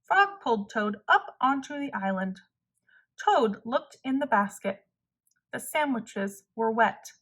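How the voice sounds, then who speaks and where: A woman narrating a children's storybook aloud in a measured, clearly phrased way. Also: a little high, lively intonation, fairly bright tone. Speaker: storybook reader.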